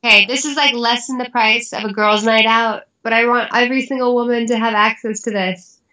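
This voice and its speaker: A woman's voice: fairly high pitch, fairly even intonation, fairly quick, sharp tone, muffled recording. A woman guest on a podcast, talking in an earnest, rambling casual way. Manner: earnest, rambling with many likes. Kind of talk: casual chat.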